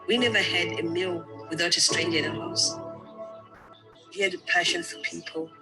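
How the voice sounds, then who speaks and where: A man's voice: on the high side, steady tone, normal speed. A man from Zimbabwe speaking earnestly and from the heart in a short documentary clip. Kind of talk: documentary testimony. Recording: speech with music playing behind.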